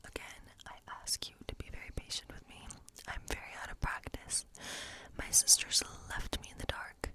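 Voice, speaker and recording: A woman playing a hospitable, eccentric woodland witch in an ASMR role play, speaking in a breathy whisper with a slow, coaxing, reassuring, rambling manner. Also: close to an everyday voice, poor audio. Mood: cozy, modest. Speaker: ASMR performer.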